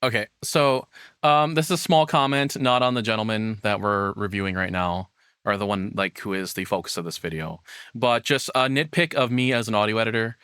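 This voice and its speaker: A man's voice: lowish voice, a little fast, fairly bright tone, smooth, no room sound, studio quality. A man giving casual, rambling, opinionated livestream commentary with some swearing. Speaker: streamer reacting to a video.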